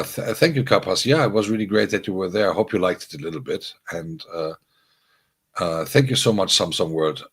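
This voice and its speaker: A man's voice: deep voice, breathy edge. A man hosting a casual livestream, rambling through announcements for his viewers with many fillers and restarts. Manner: friendly, announcing upcoming streams to viewers. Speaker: livestream host and DJ.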